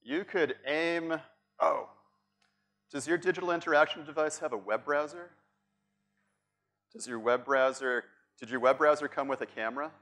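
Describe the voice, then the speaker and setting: A man's voice: a little high, normal speed, long pauses, a bit bright. A middle-aged man from Boston with a Boston accent, giving a playful, chatty workshop talk at a conference and asking the audience questions. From Boston, United States. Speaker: conference speaker. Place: large conference room on stage.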